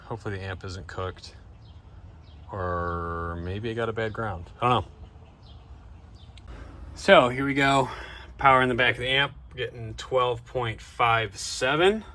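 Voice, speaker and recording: A man's voice: mid-range voice, a bit bright. A man giving a casual, informal hands-on narration to viewers, thinking aloud as he works on a vehicle. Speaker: DIY car vlogger and host. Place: in and around a van.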